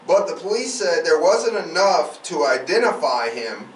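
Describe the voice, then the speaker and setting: A man's voice: on the high side, sing-song, moderate pace. A man hosting a true crime podcast, telling the story in a casual, emphatic and profane conversational way. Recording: roomy, distant mic, faint noise.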